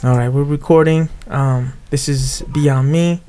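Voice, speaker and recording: A man's voice: medium pitch, steady tone, unhurried, warm tone, soft background music. A man hosting a podcast in a casual, conversational way. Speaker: podcast host, music artist.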